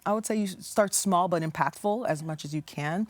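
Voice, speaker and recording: Earnest low-voiced woman guest. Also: a little fast, no room sound. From United States. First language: English. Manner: earnest, passionate, stops and restarts phrases. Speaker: community organization founder, guest. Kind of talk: studio interview.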